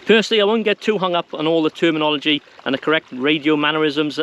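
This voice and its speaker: A man's voice: a little high, fairly even intonation, fast talker, fairly bright tone, smooth, highs cut off. A man presenting an informal how-to video to viewers in a plain-spoken, conversational way. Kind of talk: informal instructional talk to viewers.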